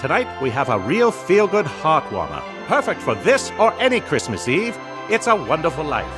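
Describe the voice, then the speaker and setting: A man's voice: fairly high pitch, wildly swooping pitch, moderate pace. A man playing a jovial 1940s radio show announcer, greeting listeners in a grand theatrical manner. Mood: jovial, welcoming. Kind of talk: 1940s radio acting, scripted welcome. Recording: music behind the voice.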